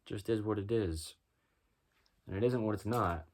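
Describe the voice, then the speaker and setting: A man with a deep voice doing a rhyming spoken-word freestyle, musing and preaching as he goes. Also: moderate pace, a little mellow. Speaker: freestyle musician.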